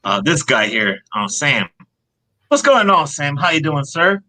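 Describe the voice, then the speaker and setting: A man's voice: a little high, very animated, unhurried, bright, forceful tone. A man hosting a casual comic book live stream panel, laid-back and peppering his talk with "man.".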